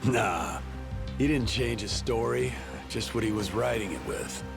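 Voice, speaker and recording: A man's voice: mid-range voice, a little slow, creaky, over music. A man voicing a protective, grumbling father in a video game dub, firm and informal. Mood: explaining.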